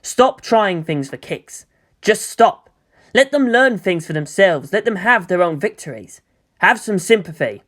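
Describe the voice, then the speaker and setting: A woman reads the part of a frustrated young male hero in an audiobook. The delivery is emphatic and halting, with big swings in pitch. The character pleads with open exasperation. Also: big pitch swings, a bit bright. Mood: exasperated pleading. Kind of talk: dramatic audiobook character reading.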